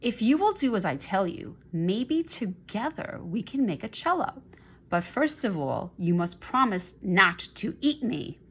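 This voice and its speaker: A woman's voice: mid-range voice, very animated, measured pace, a bit bright, slightly rough, full character voice. A woman voicing a thin, wispy female spider from a children's storybook, kindly and clever as she gives advice. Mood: friendly.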